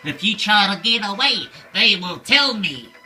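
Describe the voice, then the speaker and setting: A man's voice: sits high, very animated, sharp tone, faint music behind, pitched above the performer's own voice, exaggerated voice. A man voicing a menacing, bony old bird woman in a bedtime story, cackling and drawing out threats. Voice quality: cackling, screaming. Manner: slow, drawn-out threats.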